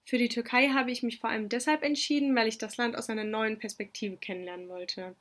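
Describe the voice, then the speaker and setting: A young woman whose first language is Turkish answers interview questions in a calm, orderly way. Her voice sits a little high. She explains things step by step. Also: brisk. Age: young adult. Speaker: exchange student interviewee. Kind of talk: interview answers about studying abroad.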